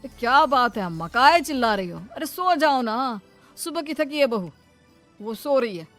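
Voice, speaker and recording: A woman's voice: high voice, expressive, moderate pace, fairly bright tone, soft background music, voice pushed up. A woman voicing a concerned, protective husband who speaks accusingly in a cartoon story. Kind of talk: Hindi cartoon story acting.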